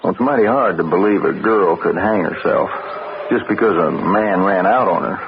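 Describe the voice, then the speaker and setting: Radio drama ranch hand: watchful, hesitant Western drawl, gravelly, on a muffled, noisy old broadcast. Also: male voice, sits low, moderate pace. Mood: probing, grim. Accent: American Western. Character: folksy, probing ranch stable hand, watchful and evasive.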